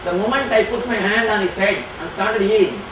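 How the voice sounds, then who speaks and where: A man whose first language is Tamil, answering audience questions as a spiritual teacher, speaking slowly and emphatically. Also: high voice. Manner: slow, emphatic and declarative. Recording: echoey hall from far off, noisy.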